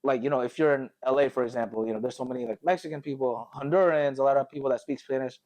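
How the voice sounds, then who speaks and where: American man, medium pitch, informal storytelling, slangy phrasing, frequent chuckles, podcast host. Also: fairly even intonation, fast, a little mellow. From Connecticut, United States, later Indonesia. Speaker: podcast host telling his life story. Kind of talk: casual conversational storytelling.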